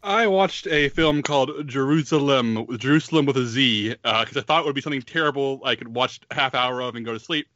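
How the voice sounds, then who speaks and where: A man's voice: mid-range voice, brisk, few pauses, fairly bright tone, no echo, dull audio. A man talking casually as a podcast co-host, reviewing a film with rambling asides and chuckles. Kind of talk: casual chat.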